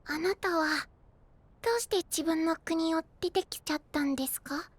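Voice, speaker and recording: A man's voice: extremely high pitch, normal speed, no room sound. A man playing a small, childlike boy knight in a fantasy audio drama, polite, eager and easily flustered. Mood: polite, earnest. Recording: voice over background music.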